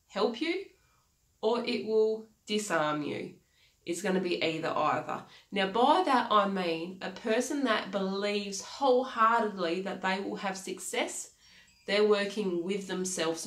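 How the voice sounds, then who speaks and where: Aussie woman life coach, stuffy nasal voice from a cold, talks to camera in a small echoey home office. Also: mid-range voice. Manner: earnest, repeats key phrases, gestures with hands. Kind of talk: motivational talk to camera. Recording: echoey room.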